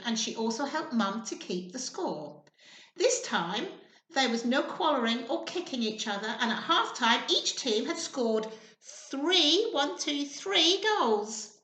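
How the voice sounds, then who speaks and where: A woman storyteller with a high, bright voice reads to small children. She is expressive and encouraging, asking them questions as she goes. She takes her time, in an echoey room. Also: measured pace. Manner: expressive, sing-song, encouraging. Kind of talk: animated children's storytime reading with questions to listeners.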